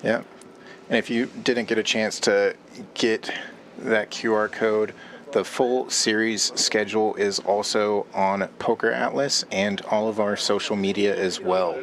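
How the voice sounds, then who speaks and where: A man's voice: fairly low pitch, steady tone, measured pace, fairly bright tone, a little hiss or hum. A man co-hosting a poker stream, reading out event details in a level, matter-of-fact way. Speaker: poker co-commentator. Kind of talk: commentary and event promotion.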